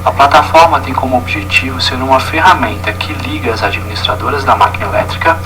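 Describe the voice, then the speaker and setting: A man's voice: mid-range voice, quick pace. Distant-miked Brazilian man over music. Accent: Brazilian Portuguese. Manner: formal, reading from a prepared text. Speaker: student presenter. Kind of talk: scripted presentation narration. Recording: distant mic with music underneath. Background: background music.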